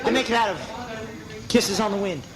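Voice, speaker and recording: A man's voice: high-pitched, normal speed. A playful young man joking and teasing his friends in an old home video. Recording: noisy crowded room, archive video. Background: loud crowd chatter.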